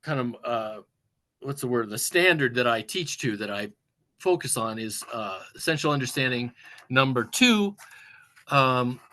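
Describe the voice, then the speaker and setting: A man, a music teacher, presenting a webinar in a halting, unscripted way, pausing for words and restarting phrases over a video call. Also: mid-range voice, expressive, bright, forceful tone. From United States. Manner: halting, many fillers and restarts, searching for words.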